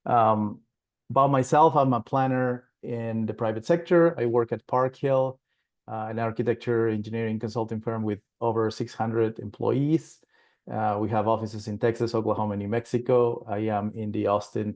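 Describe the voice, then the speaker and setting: A man's voice: lowish voice, a little mellow. A man from Peru presenting a planning webinar, speaking with many fillers and restarts.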